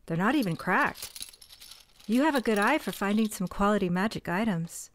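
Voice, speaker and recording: A woman's voice: medium pitch, lively intonation, moderate pace, a little hiss or hum. A woman acting a stressed cousin who runs a failing shop, stern and exasperated. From United States. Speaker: shop owner character. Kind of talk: audio drama acting.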